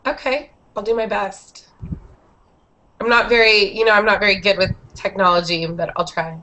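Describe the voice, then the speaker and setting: A woman's voice: medium pitch, fairly even intonation, normal speed, bright, forceful tone, low-quality recording. A woman in her thirties hosting a group English class over a video call on a headset, encouraging each student with short praise. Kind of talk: friendly class moderation. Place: small room indoors.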